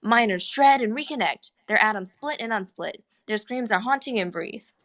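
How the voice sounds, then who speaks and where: A woman's voice: fairly high pitch, expressive, moderate pace, a bit bright. A woman reading scripted stage directions and scene headings as narrator at a screenplay table read.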